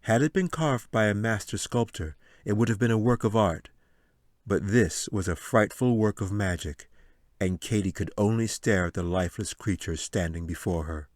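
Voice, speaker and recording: Male audiobook narrator: deep pitch, solemn dramatic storytelling, measured delivery, dry acoustics. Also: darkish tone, no echo. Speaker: author reading his audiobook.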